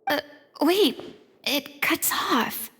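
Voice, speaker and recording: A woman's voice: high voice, expressive, extremely slow, a bit bright, big-room sound, full character voice. A woman voicing a young, theatrical heroine in a video game, speaking in a reflective, dramatic way. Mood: solemn. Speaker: game character.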